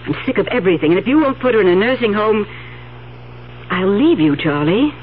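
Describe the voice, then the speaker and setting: Actress as fed-up nagging wife, lively pitch. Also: female voice, a little fast, close to an everyday voice. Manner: nagging, emphatic. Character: fed-up, demanding wife with a sharp temper. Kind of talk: 1970s radio drama acting. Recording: tinny radio broadcast, faint hum.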